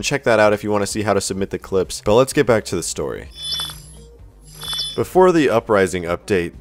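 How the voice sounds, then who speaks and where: Low-voiced man narrating gaming news. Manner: addresses viewers directly, explanatory. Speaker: YouTube gaming news host. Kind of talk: scripted video game news narration.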